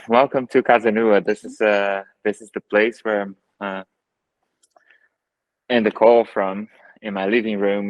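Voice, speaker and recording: A man's voice: fairly low pitch, no echo, low-quality recording. A man in his thirties with a Brazilian Portuguese accent, a photographer talking as a guest on a video call from his living room, thoughtful and full of fillers and restarts. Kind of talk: casual video call interview. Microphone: earbuds on a video call.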